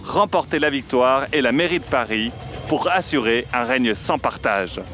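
A man delivering scripted narration like a reality-TV show, dramatic and full of suspenseful pauses. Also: medium pitch, animated, over music. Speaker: narrator of a satirical radio show.

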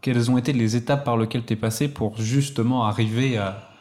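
A man's voice: mid-range voice, steady tone, brisk, darkish tone. Friendly male podcast host. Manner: friendly, hesitant with many fillers. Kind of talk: podcast interview.

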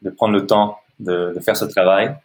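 An Italian man in his thirties, a non-native French speaker, answering interview questions in a halting way with many fillers and restarts. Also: lowish voice, steady tone. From Italy. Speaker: podcast guest, social entrepreneur. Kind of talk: hesitant conversational interview answers.

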